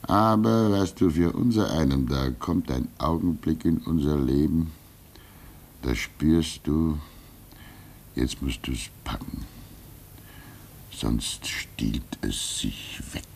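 Fatherly old hermit in a radio drama: low voice, very unhurried, gentle, resigned, reflective. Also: expressive, creaky, a little hiss or hum, exaggerated voice. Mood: wistful. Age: older. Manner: fatherly, reflective, halting, swinging between emphasis and hush. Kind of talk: German radio play acting.

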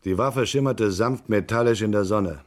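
Man acting a low-voiced hardboiled investigator. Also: normal speed, close to an everyday voice. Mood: tense narrating. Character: hardboiled male investigator, terse and controlled. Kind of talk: vintage German radio crime drama acting.

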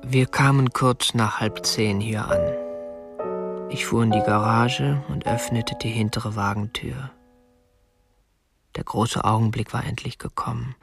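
An actor playing a prim, obsessive young kidnapper in a radio drama, speaking in a matter-of-fact, politely stiff and controlled way. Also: male voice, mid-range voice, steady tone, measured pace, creaky, long pauses, over music. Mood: detached, confessional. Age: young adult. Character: prim, obsessive young male kidnapper confessing his crime. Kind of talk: radio drama narration and dialogue.

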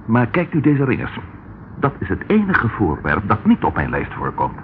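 An actor playing a methodical pipe-smoking police detective who explains things calmly. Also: male voice, on the low side, moderate pace. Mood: matter-of-fact. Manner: calm, explanatory. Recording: muffled old radio recording with hiss.

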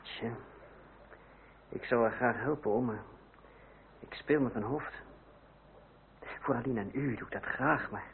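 A man's voice: mid-range voice, measured pace, creaky, long pauses. An actor playing a proud, headstrong young man in a radio drama, with formal, emphatic, theatrical delivery. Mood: firm, cool. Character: proud, headstrong young nephew in revolutionary politics. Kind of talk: old radio drama acting. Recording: crackly, narrow-band old radio recording.

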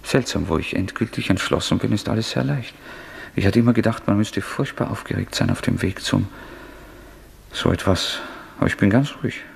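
A man's voice: fairly low pitch, expressive, fairly quick, vocal fry. An actor playing a brooding, feverishly resolved and unstable man, speaking intensely and introspectively in a German radio drama. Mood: eerie calm. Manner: intense, introspective, swinging from hushed to agitated.

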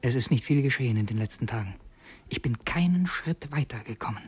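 An actor playing a secretive, brooding would-be assassin in a radio drama, intense and introspective, as if confessing to himself. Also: male voice, medium pitch, animated, darkish tone, breathy edge. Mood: conflicted, musing. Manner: intense, introspective, halting self-questioning. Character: brooding young male conspirator and would-be assassin, torn and secretive.

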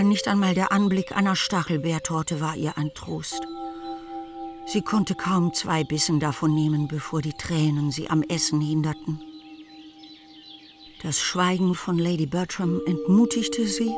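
A woman's voice: on the low side, close to an everyday voice. A woman narrating a period novel for a radio play in a scripted, literary storytelling style.

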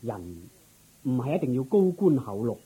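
A humble yet dignified king in Cantonese radio drama. He speaks courteously in a husky, very dark voice that moves expressively up and down. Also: medium pitch, sing-song, moderate pace, poor audio. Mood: calm, admiring. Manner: stately, courteous.

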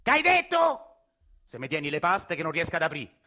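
Actor playing a deaf, cranky Roman nonno of ninety, high, bright and shouty. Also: male voice, huge pitch swings, fairly quick, exaggerated voice. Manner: shouting, impatient, hard of hearing. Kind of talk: one-man theatre monologue.

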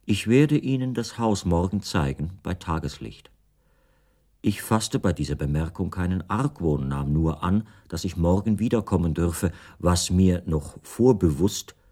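An actor playing a bespectacled middle-aged writer who recounts his own story in measured, formal and precise literary narration. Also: sits low, warm tone. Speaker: first-person narrator of a radio play. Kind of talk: literary scripted narration in a radio play.

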